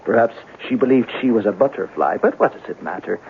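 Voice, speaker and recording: An actor playing a wry, melancholy police sergeant in an old-time radio drama. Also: male voice, lowish voice, expressive, moderate pace, gravelly, a little hiss or hum. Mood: wistful, philosophical. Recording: muffled narrowband old radio broadcast.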